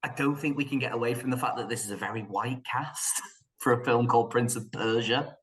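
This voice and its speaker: Man, medium pitch, casual podcast chat, animated, joking, opinionated reviewer. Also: brisk. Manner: animated, joking, acts out mock quotes. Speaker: podcast panelist reviewing films.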